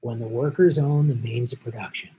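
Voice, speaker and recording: A man's voice: mid-range voice, steady tone, warm tone, poor audio. Jet-lagged man losing his voice. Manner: chatty, thanks viewers by name, quick asides. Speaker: livestream host. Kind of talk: casual live chat reading viewer comments.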